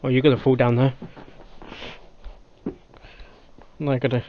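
A man giving casual reaction commentary as a video host, in a halting way that repeats phrases. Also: medium pitch, little pitch movement. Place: small cluttered room indoors. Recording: slightly dull, dry sound.

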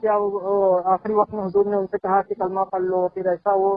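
Tinny phone caller, high pitched guy, halting and conciliatory, discussing religion. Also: monotone. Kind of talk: conversational religious debate on a phone call. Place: recorded telephone call. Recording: thin, band-limited phone line.

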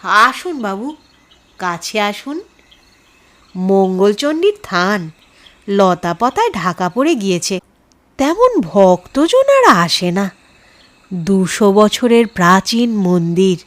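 Woman voicing a hushed, unhurried tantric temple priest. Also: medium pitch, sing-song, voice pushed down. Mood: polite, eerie. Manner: soft-spoken, deferential, unhurried. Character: thin, tall male tantric temple priest, courteous and eerie. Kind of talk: audio horror story dialogue.

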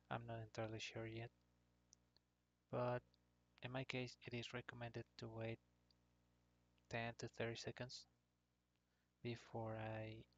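A man narrating an informal software tutorial in short, hesitant phrases. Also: medium pitch, gravelly. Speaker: tutorial presenter. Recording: dull lo-fi computer microphone.

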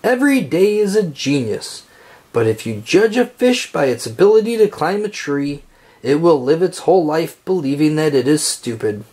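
A man reading a children's picture book aloud, phrasing it deliberately and expressively for young listeners. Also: on the high side, very animated, a little mellow.

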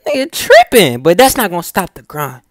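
A man's voice: sits high, wildly swooping pitch, moderate pace, no room sound, studio quality. Young man hosting a slangy street interview. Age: young adult. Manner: slangy, keeps saying "you know what I'm saying". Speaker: street interviewer, channel host. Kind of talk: casual public interview. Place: outdoors in a park and inside a shopping mall. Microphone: handheld microphone. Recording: background music over it. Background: music.

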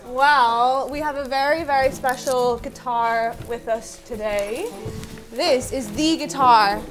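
A young Irish woman presenting with casual, playful, upbeat chat and quick quips. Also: high voice, music in the background. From Ireland. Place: street and indoor flat.

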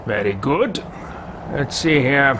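A man in his thirties plays his game hero aloud with a haughty, dry air. He talks slowly with a lively, swinging pitch. A loud hum of noise runs under his headset recording. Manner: haughty, dry, joking asides. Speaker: let's play host roleplaying his character. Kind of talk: in-character commentary over gameplay. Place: home studio with bookshelves.

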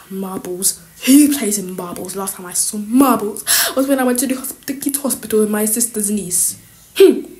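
A woman's voice: mid-range voice, lightly acted, poor audio. A woman acts out a snooping schoolteacher in a school role-play. She is sneering and disdainful, muttering to herself with disgusted grunts.

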